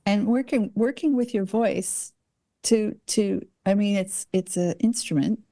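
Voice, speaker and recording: A woman hosting a podcast, her voice mellow and warm. She talks casually and pauses to hunt for the right words as she builds her point. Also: mid-range voice. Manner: searches for words mid-thought. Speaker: podcast host. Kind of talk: casual conversational interview.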